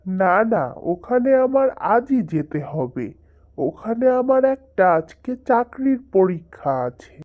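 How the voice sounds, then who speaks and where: A woman's voice: on the high side, big pitch swings, slow. A woman voicing an earnest, affectionate young man, a dutiful son in a cartoon, in dull audio. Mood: earnest, hopeful.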